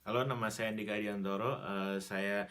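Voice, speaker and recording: Young male student, polite, brisk, lowish voice. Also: steady tone, a bit bright. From Indonesia. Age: young adult. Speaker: student interviewee. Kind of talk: brief self-introduction.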